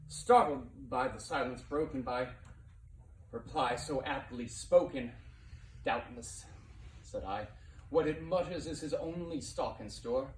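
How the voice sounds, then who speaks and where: A man giving a dramatic poetry reading as narrator, theatrical in manner, swelling from hushed to impassioned delivery. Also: mid-range voice, takes it slowly. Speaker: narrator reading a poem aloud. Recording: roomy, distant mic with faint noise.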